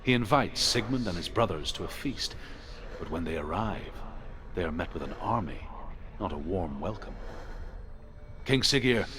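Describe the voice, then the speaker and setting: A man playing a grave storyteller who narrates old legends in a video game with measured, dramatic delivery. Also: sits low, lively intonation. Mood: solemn, grave. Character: solemn male storyteller of old Norse legends. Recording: game audio with clear background noise.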